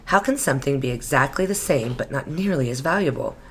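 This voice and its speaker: A man voicing a wry commentator in a breathy, barely audible whisper for comedy. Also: mid-range voice, expressive, brisk, a little hiss or hum, pitched above the performer's own voice. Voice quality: breathy, hushed.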